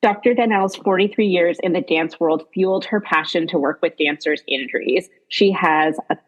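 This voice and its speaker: A woman's voice: lowish voice, normal speed, poor audio. A woman hosting a podcast, introducing and interviewing in an enthusiastic way. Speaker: podcast host.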